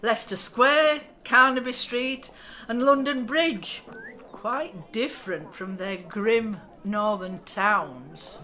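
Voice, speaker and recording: A woman's voice: fairly high pitch, takes it slowly, pushed and bright. A woman acting a formal, patronising 1960s newsreel narrator in a radio play. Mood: grand, patronising. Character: earnest, condescending 1960s female newsreel narrator. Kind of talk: newsreel-style scripted narration. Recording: noisy background ambience.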